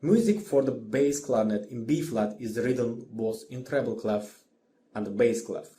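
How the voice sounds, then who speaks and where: A man giving a scripted music lesson as a lecturer, in a measured, didactic manner. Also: mid-range voice, mellow. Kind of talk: scripted instructional narration.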